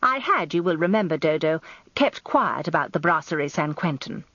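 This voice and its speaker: An actress playing a genteel, self-important middle-aged English wife, narrating a radio drama in an arch, confiding, expressive way. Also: female voice, low-pitched, moderate pace, dry recording, dull audio. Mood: gossipy.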